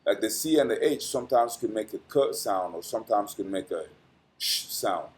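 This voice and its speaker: A man with a somewhat low voice hosts an astrology channel and reads a dense weekly forecast. He stumbles now and then and fills gaps with "uh", pronouncing each English word with care. His sign-off turns chatty and meandering. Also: animated, moderate pace, a little hiss or hum. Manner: reads dense prepared text with frequent "uh" fillers and stumbles; careful pronunciation of English as a later-learned language. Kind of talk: reading a weekly horoscope, then casual sign-off.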